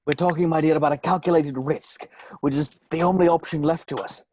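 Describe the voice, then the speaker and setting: A man's voice: a little high, steady tone, fairly quick, darkish tone, slight background noise, natural voice. A man playing a genteel, grandfatherly park owner with courtly, formal phrasing in an amateur screenplay table read. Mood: persuasive.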